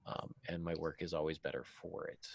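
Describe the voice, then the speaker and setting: A man's voice: very deep voice, level tone, fairly quick, vocal fry, husky, dry recording. A man talking casually as a podcast host, with a self-deprecating, joking manner. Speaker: podcast host and fiction writer. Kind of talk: casual podcast discussion of writing tips.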